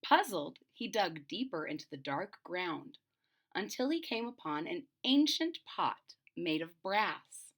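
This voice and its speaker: Expressive woman reading a storybook, unhurried. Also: lowish voice. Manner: teacherly, deliberate, emphatic. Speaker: storyteller reading aloud to children. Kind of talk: expressive picture-book read-aloud with simple explanations.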